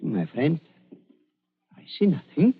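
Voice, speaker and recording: An actor playing an evasive, ingratiating older German doctor in a 1940s radio drama, with a German accent and a hesitant, stammering, fussily polite delivery. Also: male voice, on the high side, huge pitch swings, slow pace, slight background noise, full character voice. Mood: soothing, evasive. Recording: muffled vintage radio recording.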